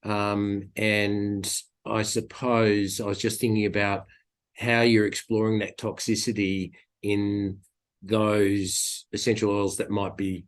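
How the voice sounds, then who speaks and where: A man's voice: on the low side, little pitch movement, slow pace. A man in the audience asking a long, tentative question with many ums. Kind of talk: academic question.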